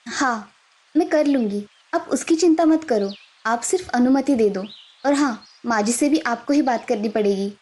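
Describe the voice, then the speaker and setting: A woman voicing a determined, respectful young village daughter-in-law in an animated story, earnest and polite but firm. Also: on the high side, moderate pace, close to an everyday voice. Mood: reassuring. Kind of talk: Hindi animated moral story dubbing.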